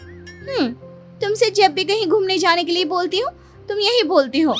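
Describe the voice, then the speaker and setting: A woman plays a sweet young village wife with a high voice. She sounds coaxing and plaintive, as in an animated story, with faint music behind her. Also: moderate pace. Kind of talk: Hindi cartoon story voice acting.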